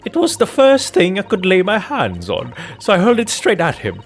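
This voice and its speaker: Courtroom game housewife, acted by a man: high-pitched, pompous, indignant, quick to anger, hugely animated delivery. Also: faint music behind, heavily acted. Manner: flustered, pompous, huffy. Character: haughty, indignant, quick-tempered married woman in a courtroom. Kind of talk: dramatic video game character voicing.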